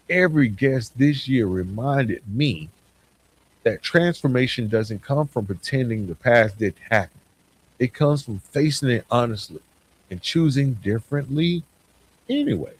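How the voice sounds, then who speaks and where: A man's voice: medium pitch, slightly rough, poor audio. A man hosting a podcast solo, speaking earnestly and reflectively in a motivational way, often stressing short parallel phrases. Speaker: podcast host. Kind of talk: reflective solo podcast monologue, partly scripted.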